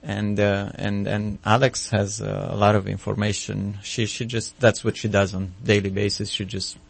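A man in his thirties with a Romanian accent, a physician guest speaking haltingly in a casual radio interview, full of ums and restarts. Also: fairly low pitch, level tone, gravelly, husky.

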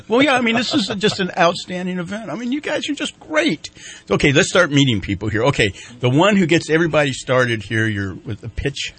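A man's voice: a little high, animated, brisk, fairly bright tone. A man hosting a morning radio show in a crowded studio, chatting casually and laughing often as he coaxes guests to the mic. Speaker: radio host.

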